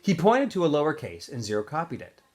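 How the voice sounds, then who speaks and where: A man, a teacher, reading a novel aloud in a relaxed way with small slips and fillers. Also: on the high side, fast talker.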